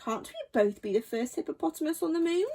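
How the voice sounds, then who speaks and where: An eager female hippo from a children's storybook, acted out by a woman reader. The voice is high and very animated, full of exclamation. She is a polite, cheerful dreamer. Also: fast talker. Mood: apologetic, hopeful.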